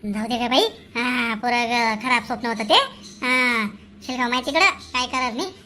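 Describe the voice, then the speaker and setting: A woman's voice: fairly high pitch, normal speed, bright, forceful tone, a little hiss or hum. A woman voicing an indignant, nagging middle-aged village mother in a comic cartoon, with a Khandeshi Marathi accent. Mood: shaken, dazed. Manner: nagging, exclamatory, rural colloquial.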